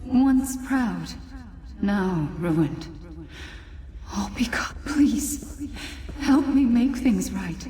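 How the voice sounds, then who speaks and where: A woman's voice: medium pitch, expressive, extremely slow, pauses often, warm tone. A woman voicing the remorseful ghost of a dead mother in a fantasy video game, mournful and pleading. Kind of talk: video game quest dialogue. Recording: echoey, distant, clear background noise.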